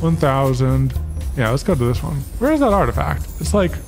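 A man in his thirties chatting casually and excitably as he co-hosts a gameplay stream, close on the mic. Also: mid-range voice, brisk, gravelly. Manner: excitable, repeats himself. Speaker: gaming channel host. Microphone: large microphone on boom arm, close. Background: game music.

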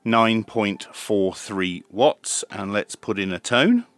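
A man in his fifties presenting a product review in a measured, methodical way, step by step. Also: lowish voice, lively intonation, fairly bright tone, faint music behind. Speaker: presenter of radio equipment reviews. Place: small indoor home radio workshop.

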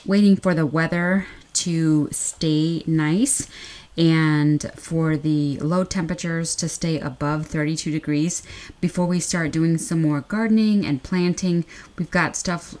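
A woman's voice: deep voice, a little mellow. An American woman narrating a casual home vlog in a conversational way, often repeating and rephrasing herself. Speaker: vlogger. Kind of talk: casual haul vlog narration over close-ups. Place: indoors at home.

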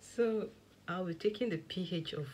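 A woman talks through a hands-on demonstration at her worktable, her voice kept soft and low for the late hour. Her pitch sits a little low. Steady background noise and small clicks are heard under her speech. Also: normal speed. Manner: soft, lowered late-night voice, many "okay, so" fillers. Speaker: skincare tutorial host. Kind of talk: casual step-by-step demonstration. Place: indoor work table.

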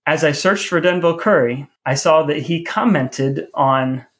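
A man's voice: fairly high pitch, normal speed, a bit bright. A man hosting a podcast, talking in a casual, conversational way. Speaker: podcast host. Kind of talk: casual podcast talk between brothers.

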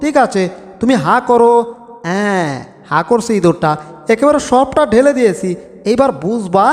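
A man voicing an excitable, boastful, lovestruck cartoon cat, exclaiming theatrically to himself. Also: high-pitched, normal speed. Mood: scheming. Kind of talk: Bengali cartoon dub comedy. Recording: long reverb with music.

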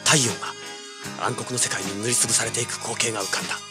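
A tough young adventurer played by a man tells and lives his story in a low voice. He is cocky and hard-boiled, in audio drama style, with music playing clearly behind him. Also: steady tone, fast talker, lightly acted. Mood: grim, tense. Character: brash, tough young male treasure hunter narrating his adventure. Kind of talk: 1980s audio drama acting with first-person narration.

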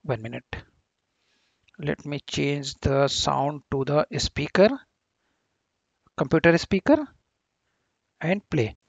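A man hosting an informal software tutorial voice-over, speaking haltingly with very frequent hesitations and repeated words. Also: on the high side, animated, gravelly, no echo, highs cut off. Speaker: tutorial host. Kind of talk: informal screen-recorded software tutorial narration.

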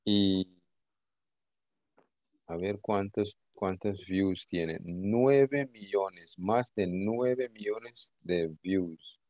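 A man teaching an informal online lesson, speaking haltingly and often repeating his words. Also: deep voice, no echo. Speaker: English teacher. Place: indoor video call. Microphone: video call. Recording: dull, lo-fi call audio.

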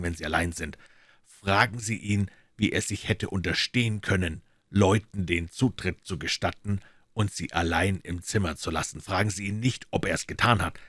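A coolly commanding detective, voiced by a male reader in a low, hushed register. He lays out instructions in a measured, firm way with quiet intensity, recorded dry and close. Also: dry recording. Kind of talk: audiobook character voice.

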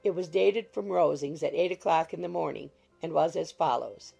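Very creaky, husky female audiobook narrator. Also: low voice, normal speed, warm tone. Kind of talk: scripted literary narration of a classic novel. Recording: music behind the voice. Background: music.

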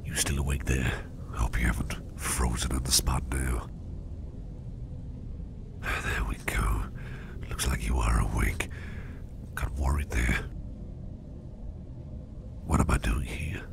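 Man acting very deep gravelly whispering caring boss. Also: steady tone, heavily acted. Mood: gentle concern. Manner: soft, halting, soothing. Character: caring, reassuring male boss, gentle and protective. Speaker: roleplay ASMR performer. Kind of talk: ASMR roleplay, whispered. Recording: noisy ambient background noise.

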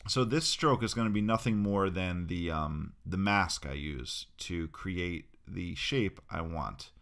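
A man narrating a screen-recorded software tutorial, explaining each step as he clicks through it in a relaxed, casual way. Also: deep voice, slow, gravelly.

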